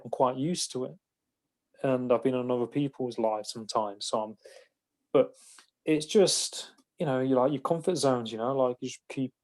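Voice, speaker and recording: A man's voice: mid-range voice, a little mellow. A man hosting a podcast, chatting casually and rambling with lots of fillers. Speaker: podcast host. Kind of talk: casual interview chat. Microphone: phone call.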